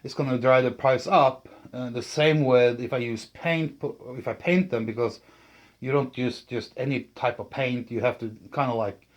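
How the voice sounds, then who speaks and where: A man talking casually and unscripted about a hobby build, thinking aloud in a hesitant, halting way as he presents. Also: mid-range voice, steady tone. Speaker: channel host, speaker builder.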